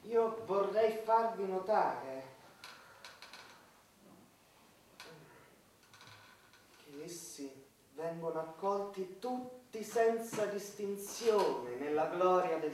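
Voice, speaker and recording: Preachy churchman, very echoey. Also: high voice. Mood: unctuous. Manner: preachy, sanctimonious. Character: unctuous, sermonizing male churchman at war council. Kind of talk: satirical radio theatre acting. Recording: very echoey, distant, faint noise.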